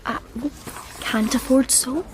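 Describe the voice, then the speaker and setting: A woman's voice: sits high, slow pace, noisy background. An actress in a radio play playing a shy, unemployed female graduate, hesitant and dryly ironic.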